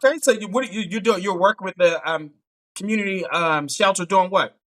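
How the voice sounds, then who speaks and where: A man's voice: sits high, fairly quick, fairly bright tone. A man hosting a live online dating show over a video call, teasing his guest and laughing often.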